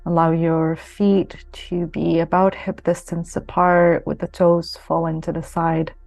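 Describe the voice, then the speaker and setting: A woman guides a meditation with a soothing, very slow delivery and long pauses. Her low-set voice stays soft-toned and mellow, with little melodic movement, over gentle music. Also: little pitch movement, vocal fry, no room sound. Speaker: yoga nidra guide.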